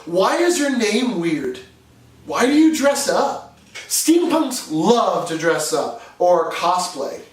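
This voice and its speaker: A man's voice: high voice, sing-song, slow, echoey room, distant mic. A man with a New England accent, from New Hampshire, giving an earnest, conversational explainer as a video host. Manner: earnest, conversational, with occasional fillers. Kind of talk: scripted explainer narration.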